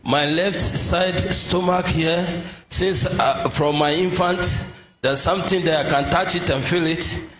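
Man, slightly high voice, slow searching testimony, echoey hall, faint music. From Ghana. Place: large indoor church hall.

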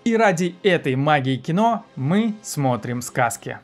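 Guy in his 30s doing a scripted movie review vid, voice a bit high, light music under it. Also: big pitch swings, a little slow. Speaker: film review video host. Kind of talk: scripted video essay narration. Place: small indoor room, seated at desk.

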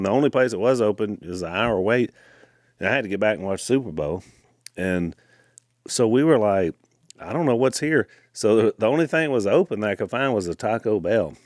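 A middle-aged man tells stories with quick asides and lots of energy. His voice sits a bit low and sounds close and dry on a studio mic, with no room sound. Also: no echo. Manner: animated storyteller, quick asides. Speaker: podcast co-host. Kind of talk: casual storytelling chat. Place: wood-paneled studio room. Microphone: studio mic on boom arm, headphones.

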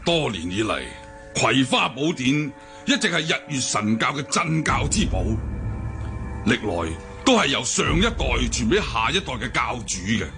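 An actor playing a proud, brooding older deposed martial-arts cult leader in a Hong Kong Cantonese radio drama, declaiming and sighing theatrically. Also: male voice, mid-range voice, sing-song, normal speed. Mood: indignant. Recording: music bed behind the voice.

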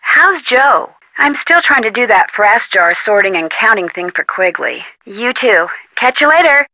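Young American female sleuth, game dialogue. Inquisitive, polite, chatty; slightly high voice, dry close sound. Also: big pitch swings, a little slow, bright, forceful tone, no room sound, heavily acted, poor audio. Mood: casual, friendly. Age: young adult. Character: friendly, inquisitive young woman amateur detective. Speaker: video game character voice actor. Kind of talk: scripted adventure game dialogue, phone-call delivery.

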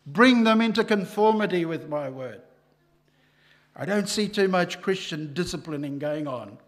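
Older man preaching emphatically, high voice. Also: lively intonation, a little slow. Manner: emphatic preaching, rising loud on key phrases. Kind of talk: sermon. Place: church hall, at a lectern. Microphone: lectern microphone.

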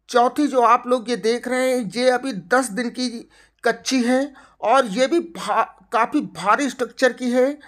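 A woman hosting a livestock video, narrating off camera through a buffalo shed in an upbeat sales pitch aimed at farmers. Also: a bit bright. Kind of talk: off-camera farm tour narration.

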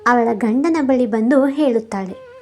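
A woman's voice: on the high side, measured pace, soft background music. A woman narrating a scripted moral story.

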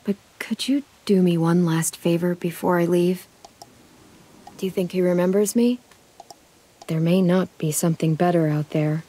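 A woman voicing a tough, guarded teenage girl surviving a zombie apocalypse in a video game, terse and dry, with a slightly husky voice. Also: lowish voice, steady tone, normal speed, mellow, close to an everyday voice, low-quality recording. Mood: soft, earnest. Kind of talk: video game voice acting, isolated dramatic lines.

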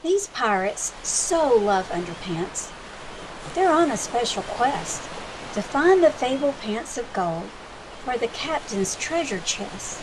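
A woman librarian reading a children's storybook aloud with expressive, deliberate, emphatic narration. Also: fairly high pitch, background noise.

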